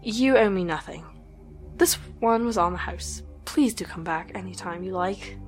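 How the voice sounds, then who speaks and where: A woman playing a sultry, mysterious fortune teller who speaks in a smooth, teasing, gracious way, in an audio drama. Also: medium pitch, moderate pace, lightly acted. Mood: cryptic. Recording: music bed underneath.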